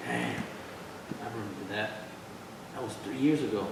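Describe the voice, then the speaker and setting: A man's voice: fairly low pitch, normal speed, creaky. A man playing a blunt, cynical young friend in casual dialogue at a staged reading. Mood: blunt, low-key. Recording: echoey hall, distant mic, faint noise.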